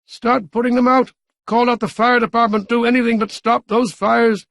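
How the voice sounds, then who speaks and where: Dude playing a panicky blustering mayor, yelling high, bit gravelly. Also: male voice, expressive, unhurried, dry recording, studio quality, exaggerated voice. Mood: shouting frantic. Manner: pompous turning panicked, shouting. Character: flustered, blustering middle-aged male mayor.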